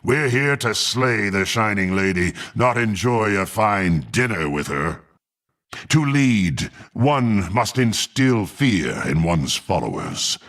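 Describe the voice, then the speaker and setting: A brutal half-orc warrior from a fantasy game, contemptuous and hungry for power. The gravelly voice moves slowly but swings widely, dripping with haughty menace. The phrasing is archaic and formal. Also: male voice, low-pitched, exaggerated voice. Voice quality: gruff, gravelly. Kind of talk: dramatic fantasy game voice acting.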